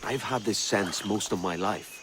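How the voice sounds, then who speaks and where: An actor playing a brash, sceptical young pirate captain in a video game cutscene. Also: male voice, fairly low pitch, moderate pace, noisy background, natural voice. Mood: puzzled, curious.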